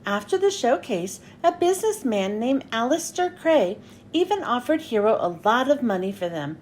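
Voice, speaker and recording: High-voiced, animated woman reading a storybook. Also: normal speed, a little hiss or hum. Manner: expressive, story-time delivery. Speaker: children's read-aloud host.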